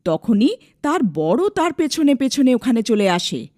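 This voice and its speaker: A woman's voice: on the high side, sing-song, a little slow, dark tone, no room sound, studio quality. A woman narrating a scripted dramatic story as a storyteller.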